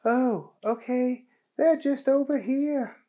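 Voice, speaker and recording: Storyteller doing a nice patient lady librarian, soft and slow. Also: high-pitched, muffled recording, pitched above the performer's own voice. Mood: helpful. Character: kind, patient woman librarian.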